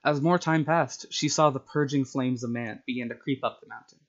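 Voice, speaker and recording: A man with a medium-pitched voice hosts a casual story-time livestream. His microphone sounds a little dull and lo-fi, and his delivery is that of someone sharing his own writing with viewers. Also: moderate pace. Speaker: streamer reading own short stories.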